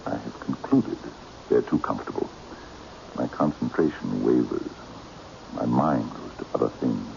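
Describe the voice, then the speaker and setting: Controlled eccentric with a cat, extremely low creaky voice, courtly but commanding, old radio mystery. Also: male voice, animated, moderate pace, mellow, full character voice. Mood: intent, hypnotic. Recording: dull, noisy old radio recording.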